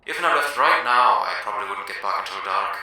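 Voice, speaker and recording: A man's voice: on the low side, lively intonation, fairly quick, pushed and bright. A man voicing a deferential, practical servant pony who works as a ship's engineer, folksy and rambling, thin and distant as if through a speaking tube. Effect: muffled voice from downstairs or speaking tube.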